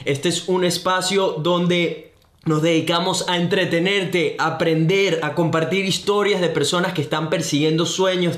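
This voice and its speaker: A young Venezuelan man with a Venezuelan accent, hosting a podcast in an energetic, enthusiastic, slangy way. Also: fairly high pitch. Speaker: podcast host. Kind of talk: upbeat podcast intro and interview. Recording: roomy echo.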